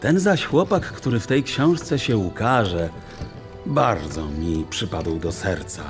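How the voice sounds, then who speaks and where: A man tells a children's story with theatrical, deliberate phrasing. His somewhat low voice rises and falls widely as he takes his time over literary sentences. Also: big pitch swings. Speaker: audiobook narrator. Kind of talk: expressive children's storybook narration. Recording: music under the voice. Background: music.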